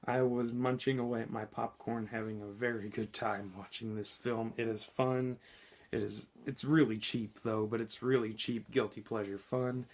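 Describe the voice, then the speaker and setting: Hoarse, sick man giving a casual review. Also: medium pitch, flat delivery, normal speed. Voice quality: slightly scratchy. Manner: chatty and informal, frequent ums and self-corrections. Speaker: movie reviewer. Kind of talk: casual spoken movie review.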